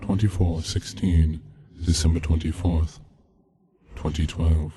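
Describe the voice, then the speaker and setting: A man reading a scripted eerie story as narrator, in a measured, solemn, deadpan way. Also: very deep voice, slightly rough. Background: background music from game soundtrack.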